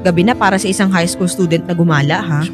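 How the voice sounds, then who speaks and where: A woman's voice: fairly low pitch, fast, music in the background, lightly acted. A Filipino woman acting a timid, polite young schoolteacher in a radio drama. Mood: friendly, shy.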